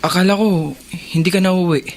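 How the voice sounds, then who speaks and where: Remorseful older brother, slightly high voice. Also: male voice, steady tone, a little fast, faint music behind. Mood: pleading. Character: remorseful, earnest older brother pleading for understanding. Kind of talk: Tagalog radio drama acting.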